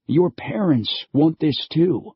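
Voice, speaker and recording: Man doing eerie old stranger, husky, slow and grave, dull lo-fi sound. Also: on the high side, lively intonation, no room sound, pitched above the performer's own voice, heavily acted. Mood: urgent. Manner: grave, measured, gentle. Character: mysterious ancient male stranger, calm, sorrowful. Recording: dull lo-fi audio.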